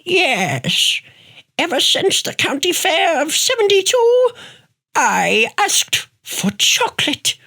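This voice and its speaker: A woman's voice: very high-pitched, very animated, slow, pushed and bright, heavily acted. A woman playing an eccentric, unstoppable grandmother in her eighties in a radio play, with theatrical, grandiose delivery. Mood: vengeful. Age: 80s. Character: unstoppable, eccentric 81-year-old grandmother, defiant adventurer. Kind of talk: radio play character acting.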